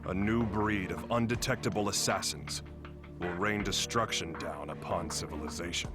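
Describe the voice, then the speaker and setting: A man voicing a grave, serious fighter in an animated film dub, speaking in a solemn, deliberate way. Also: low voice, close to an everyday voice. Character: grave, serious male fighter briefing his team. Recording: music behind the voice.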